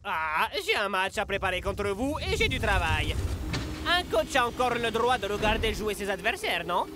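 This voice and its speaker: A man's voice: high voice, very animated, normal speed, background noise. A man voicing a brusque, defensive football figure in a cartoon dub.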